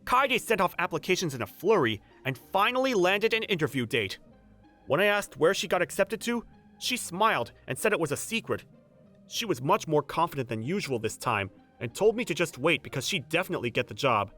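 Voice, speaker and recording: A man's voice: a little high, big pitch swings, a little fast, bright, forceful tone, soft background music. An actor playing an earnest, protective young male manager who narrates a romcom manga dub in first person. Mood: steady narration. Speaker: narrator and lead character.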